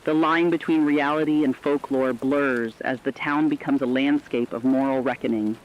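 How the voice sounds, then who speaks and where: Casting: man playing serious radio reporter, medium pitch, deliberate doom-laden horror news read, slightly muffled lo-fi sound. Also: steady tone, slight background noise, natural voice. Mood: stern, foreboding. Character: stern radio reporter warning listeners of doom.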